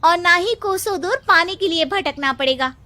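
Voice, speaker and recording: A man playing a persuasive village farmer in a cartoon moral story, speaking up loudly and emphatically. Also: very high voice, moderate pace, heavily acted. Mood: urgent, rallying. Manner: emphatic, pleading, loud. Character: supportive, persuasive male village farmer rallying neighbours.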